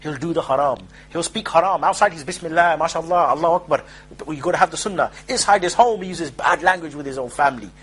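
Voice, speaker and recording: Husky guy preaching real fast, high voice, jabby and mocking. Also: a bit bright, poor audio. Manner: rapid, emphatic, mocking asides. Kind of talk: informal street-style lecture.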